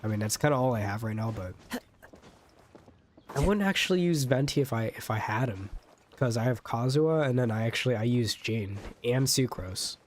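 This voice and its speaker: A man's voice: medium pitch, expressive, moderate pace, a little hiss or hum. A young adult man giving casual, rambling livestream commentary on a game in slangy language. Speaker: game streamer. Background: game music.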